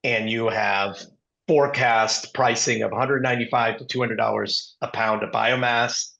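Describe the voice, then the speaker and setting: Male podcast host, hoarse and gravelly, middle pitch, loose rambling delivery full of ums. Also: steady tone, normal speed, sharp tone, lo-fi sound. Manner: rambling, heavy with ums and uhs. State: hoarse, scratchy voice. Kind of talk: casual interview talk.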